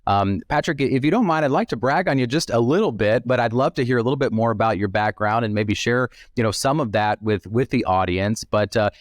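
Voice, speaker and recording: A man hosting an interview podcast in a conversational way, speaking politely with a halting delivery. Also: medium pitch, no room sound, studio quality. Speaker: podcast host.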